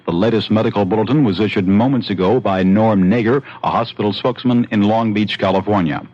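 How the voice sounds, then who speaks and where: Brisk, low-voiced American radio newsman. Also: a little mellow, slight background noise. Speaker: radio news reporter. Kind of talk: scripted news bulletin. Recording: dull archival radio broadcast.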